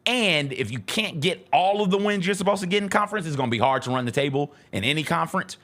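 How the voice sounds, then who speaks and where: A man's voice: fairly high pitch, expressive, fast, a bit bright. Man talking sports, casual and emphatic. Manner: emphatic, repeats points for stress. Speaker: sports talk show co-host.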